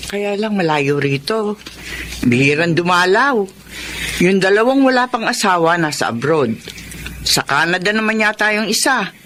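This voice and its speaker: An actor playing a chatty, gossipy neighbour in a Tagalog radio drama. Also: male voice, high-pitched, normal speed, slight background noise. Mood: gossipy, informative. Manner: gossipy, animated. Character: chatty, gossipy neighbour telling about others.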